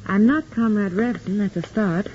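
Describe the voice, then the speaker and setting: An actress playing a smart, commanding young communist operative in a 1950s radio drama, cool and clipped. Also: female voice, mid-range voice, moderate pace, mellow, close to an everyday voice. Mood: brisk, commanding. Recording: muffled noisy 1950s radio recording.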